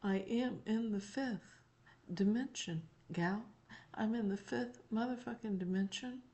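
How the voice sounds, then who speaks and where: A woman voicing a folksy, elderly gentleman and former president in an emphatic, deliberate, profane channeled monologue. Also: medium pitch, close to an everyday voice. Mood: insistent, emphatic. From North Carolina, United States. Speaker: spiritual channeler.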